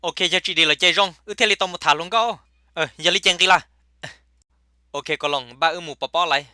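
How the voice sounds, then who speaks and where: A man's voice: high voice, fairly quick, sharp tone, dry recording, clean studio sound. A man performing casual, chatty comic dialogue for a cartoon.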